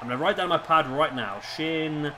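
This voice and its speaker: A hoarse man with a sore throat giving casual play-by-play commentary as host of a wrestling game video. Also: on the high side, a little fast. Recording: clearly noisy home recording.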